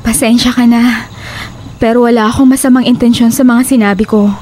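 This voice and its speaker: Woman acting high-voiced, frightened, suspicious young woman. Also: steady tone, a little fast, darkish tone, slight background noise, close to an everyday voice. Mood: wary, insistent. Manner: pleading, insistent. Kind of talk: Tagalog horror audio drama acting.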